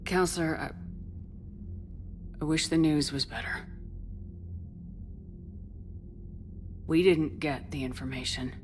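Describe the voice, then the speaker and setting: A woman's voice: sits low, normal speed, a little hiss or hum, close to an everyday voice. A woman playing a weary, resolute military commander in a science fiction game, with a terse, commanding delivery. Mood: grim, defeated. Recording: game music behind the voice.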